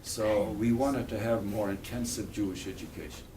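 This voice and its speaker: Older man, low voice, halting and earnest, speaking to a class from some way off the mic with noise behind. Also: warm tone. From Poland. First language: Polish. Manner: halting, restarts phrases, earnest. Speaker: guest speaker. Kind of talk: talk and Q&A with schoolchildren. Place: school room. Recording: distant mic with background noise.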